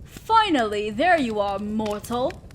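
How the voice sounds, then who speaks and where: A woman's voice: a little high, sing-song, slow pace, very noisy. A woman voicing a stern, condescending female demon lord in a scripted roleplay audio drama, speaking as an exacting boss of hell. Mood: impatient, bossy. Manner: commanding, condescending, scolding.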